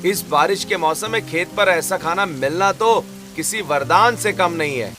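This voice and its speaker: A man's voice: high-pitched, moderate pace, over music, lightly acted. A man voicing a kindly, cheerful village farmer in a cartoon story. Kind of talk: cartoon story narration and voice acting.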